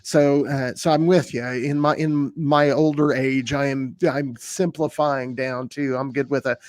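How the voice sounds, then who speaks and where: A man in casual conversation, relaxed, often restarting words and laughing easily. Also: mid-range voice, unhurried, no room sound.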